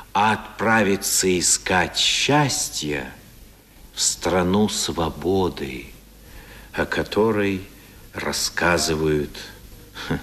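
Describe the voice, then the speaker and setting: A man playing the narrator of a classic novel in a radio play, deliberate and literary with expressive pauses. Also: on the low side. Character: measured male storyteller narrating a classic novel. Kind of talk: scripted dramatic narration. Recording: 1977 radio play recording.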